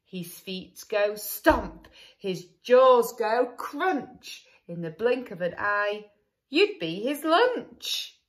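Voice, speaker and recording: A woman's voice: medium pitch, sing-song, very slow. A woman voicing a caring mother dinosaur who earnestly warns her child. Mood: warning. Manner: earnest warning tone.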